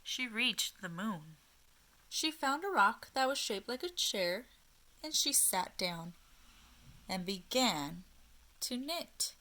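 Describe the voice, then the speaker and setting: A woman reading a storybook aloud to young children, expressive and child-directed, pausing often and addressing her listeners. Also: a little high.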